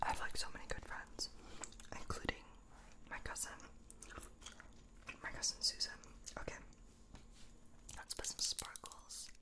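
A young woman whispers in an ASMR personal-attention roleplay. Her voice is breathy and almost entirely unvoiced, right up close to the mic. She rambles nonchalantly in halting little fragments, playing a makeup artist. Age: 20s. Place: small room at home, indoors. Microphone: close mic, facing camera.

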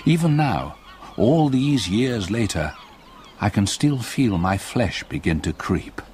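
An old English gentleman haunted by his past, narrating a radio ghost story. His low voice moves widely in pitch as he tells it gravely and with measure. Faint ambient noise sits behind him. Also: big pitch swings, takes it slowly. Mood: haunted, dread. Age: older. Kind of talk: dramatic radio play narration.

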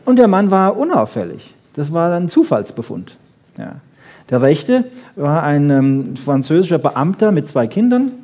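A man lecturing freely on popular science to an audience, emphatic, with rhetorical questions and frequent checking tags, in a slightly husky voice. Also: a little high, very animated, a little slow, warm tone, slight background noise.

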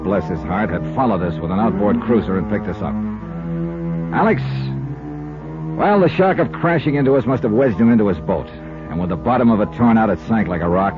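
A man acting a shrewd American insurance investigator in an old radio detective show. His delivery is lively and swings in pitch, with clipped, hard-boiled, wry phrasing. The sound is muffled vintage radio, with music behind. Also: natural voice. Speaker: star of a radio detective series. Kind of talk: 1950s radio drama acting and first-person narration.

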